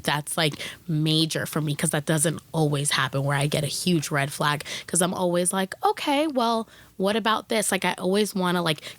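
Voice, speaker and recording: Low-voiced chatty woman guest. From United States. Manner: chatty, peppers speech with "like". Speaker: podcast guest, actress. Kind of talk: casual interview chat.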